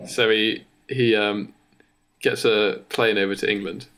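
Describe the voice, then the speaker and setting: Bright-voiced man improvising a comic story. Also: on the low side, fairly even intonation, poor audio. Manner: halting, piecing thoughts together. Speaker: podcast co-host.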